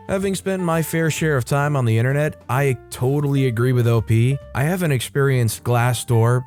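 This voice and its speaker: A young man casually narrating online stories, adding his own wry commentary between them. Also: mid-range voice. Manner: chatty, wry, animated asides. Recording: faint music behind.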